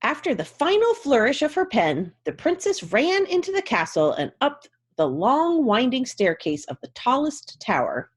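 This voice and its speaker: Very animated, husky woman storyteller. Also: on the high side. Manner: theatrical, expressive. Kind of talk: dramatic storybook narration.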